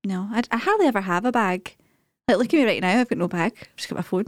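A woman co-hosting a podcast in casual chat, animated and joking. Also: mid-range voice, fast talker, dry recording, clean studio sound. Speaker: podcast co-host.

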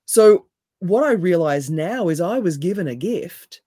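A woman with a deep, raspy voice, speaking as a coach in an interview in an emphatic, animated way. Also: measured pace, no room sound, studio quality. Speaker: NLP coach and hypnotherapist, guest. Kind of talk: casual interview chat.

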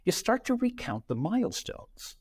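A middle-aged man, a podcast guest, telling career stories in an animated way and acting out quoted dialogue. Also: high voice, moderate pace, breathy edge, no echo. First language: bilingual, Brazilian parents. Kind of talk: conversational interview storytelling.

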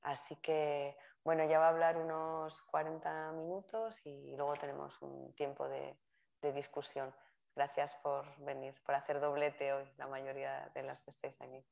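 A woman's voice: deep voice, level tone, normal speed, gravelly, a little mellow. A woman introduces a guest lecturer in a chatty, informal way, often correcting herself mid-sentence. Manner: chatty, self-correcting, laughs at own slips. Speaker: course organizer introducing the speaker. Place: university lecture room.

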